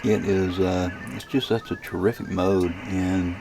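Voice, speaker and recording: A man casually narrating a software demonstration as a radio hobbyist, thinking aloud in a hesitant, rambling way with a gravelly voice. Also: low voice, fairly even intonation, unhurried, over music, rough recording.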